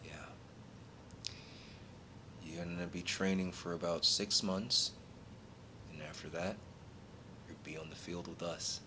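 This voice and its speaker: A man with a very low voice speaks slowly over a clearly noisy, rumbling recording. He performs a soft-spoken ASMR role play as a calm recruiter for a secret order, reassuring the listener in short, paused phrases.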